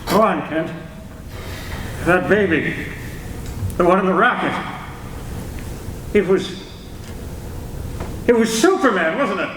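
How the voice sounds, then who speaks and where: A man playing an excitable, astonished newspaper chief in a staged old-time radio serial. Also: sits high, slow, pauses often, distant mic, exaggerated voice. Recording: very echoey hall, faint noise.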